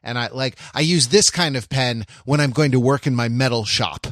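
A man with a mid-pitched voice chats casually in a very dry, close recording. He speaks haltingly, circling back and restarting as he rambles, with a wry, self-deprecating touch. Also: a bit bright, no echo. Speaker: podcast host. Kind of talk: casual chat.

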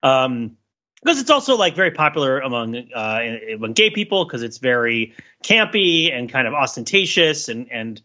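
A man's voice: medium pitch, big pitch swings, normal speed, pushed and bright, dull audio. A man talking casually as a podcast co-host, discursive and jokey, wandering through asides.

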